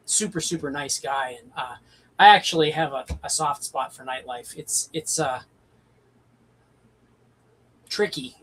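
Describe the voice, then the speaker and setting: A man's voice: fairly high pitch, bright, forceful tone. A man in his early fifties chatting casually as a game designer on a livestream panel. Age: early 50s. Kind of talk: casual chat. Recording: clear background noise.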